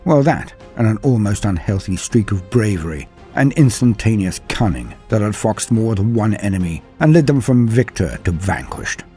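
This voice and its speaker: Male narrator for a military saga: low, mellow voice, grave measured delivery, faint music bed. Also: expressive. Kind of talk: dramatic scripted narration.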